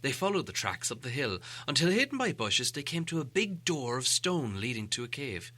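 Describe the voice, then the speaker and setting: A man narrating an audiobook tale in an expressive, scripted storytelling style. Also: medium pitch, normal speed.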